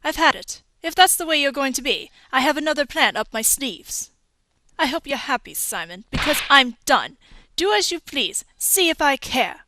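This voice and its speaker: A woman in a game fan dub playing an angry, bitter, sharp-tongued woman, scornful and confrontational. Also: high voice, big pitch swings, normal speed, full character voice, rough recording.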